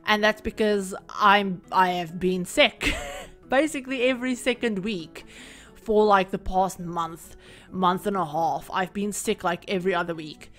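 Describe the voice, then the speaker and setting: A woman recording a casual, rambling voiceover for a home-building game video, her voice a little croaky from being sick. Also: mid-range voice. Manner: rambling, chatty, many fillers. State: croaky from illness. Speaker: Sims build creator. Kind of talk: casual voiceover over gameplay.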